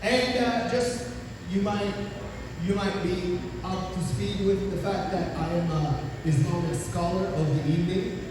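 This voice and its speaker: A man's voice: sits high, fairly even intonation, measured pace. A man from Turkey with a Turkish accent, doing a brash, profane stand-up comedy set on a club stage into a handheld mic. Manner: brash, profane, punchy delivery. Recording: echoey club from far off with noise.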